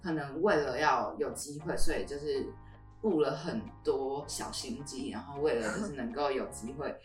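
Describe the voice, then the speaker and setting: A woman's voice: rumbling low, normal speed, distant mic, over music. A young woman co-hosting a podcast in casual chat, recorded in a roomy space away from the mic. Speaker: podcast co-host.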